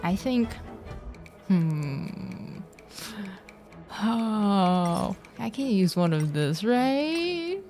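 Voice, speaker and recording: A woman's voice: mid-range voice, big pitch swings, extremely slow, pauses often, dark tone. A woman game streamer chatting casually, giggly and hesitant as she thinks aloud. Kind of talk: casual live gameplay commentary. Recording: game music under stream voice. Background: game music.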